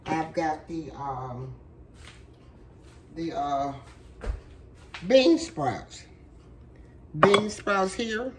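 A woman walks viewers through a recipe in her home kitchen, speaking slowly in a lowish voice. Her voice is worn from a cold, and she talks in a chatty, folksy way with plenty of fillers. A faint hum of kitchen noise sits behind her. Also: animated. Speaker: cooking show host. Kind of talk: casual cooking demonstration.

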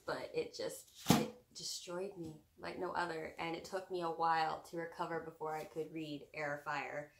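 A woman's voice: fairly low pitch, creaky, a bit bright, a little hiss or hum. A young woman chatting casually about books as a co-host of a home video. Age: young adult. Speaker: book vlogger co-host. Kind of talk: casual chat about books, reading out tag prompts. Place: small room at home with bookshelves. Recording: roomy echo from camera distance.

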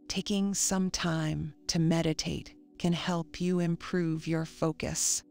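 A woman reading a scripted guided meditation in a calm, soothing, measured manner. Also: deep voice, creaky. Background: faint music.

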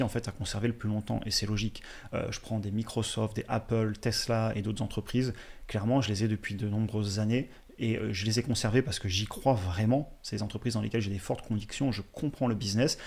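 Guy in his 30s, low-ish voice, talks fast, explains stuff straight to camera, close mic in a small room. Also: vocal fry, husky. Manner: explanatory, steady, speaks to viewers directly. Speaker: finance channel host. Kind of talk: educational commentary over slides. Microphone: studio mic on a boom in front.

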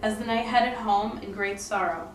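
A woman's voice: mid-range voice, fairly even intonation, moderate pace, music in the background. Echoey young woman narrating a tale. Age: young adult. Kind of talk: scripted storytelling narration. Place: indoor room, puppet show recording. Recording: echoey room, distant mic, faint noise.

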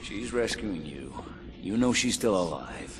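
An actor playing a gruff, sardonic mutant soldier in a video game cutscene, speaking in a terse, blunt way. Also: male voice, fairly low pitch, animated, a little slow. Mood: gruff, determined. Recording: loud music behind the voice. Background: music.

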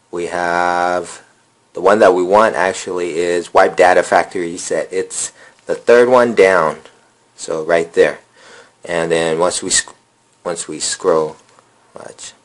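A man casually walking viewers through a phone reset step by step, with many ums and uhs. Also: low voice. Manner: relaxed, lots of fillers. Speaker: phone repair tutorial host. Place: indoor room at a table.

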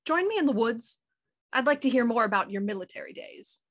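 Woman as shrewd, high-voiced police inspector. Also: animated, brisk. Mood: probing. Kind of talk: radio play acting over video call.

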